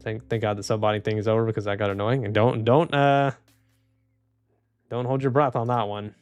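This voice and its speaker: A man live streaming and reading chat, speaking softly and calmly with frequent restarts. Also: fairly low pitch, brisk, clear, resonant tone, no echo, highs cut off. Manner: soft-spoken, calm, trailing off with restarts and small laughs. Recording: dry close mic, faint music underneath.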